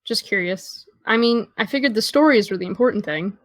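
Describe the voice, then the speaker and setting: A woman's voice: medium pitch, fairly quick, no echo, close to an everyday voice. A young American woman playing a guarded, dryly witty teenage girl in understated, naturalistic audio drama dialogue. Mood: guarded, concerned.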